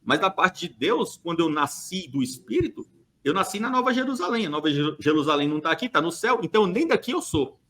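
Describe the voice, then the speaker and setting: A man's voice: high-pitched, sing-song, fast talker, a bit bright. A man from Brazil speaking on a church podcast, making an emphatic, argumentative case with rhetorical questions and hesitant fillers. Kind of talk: opinionated podcast monologue.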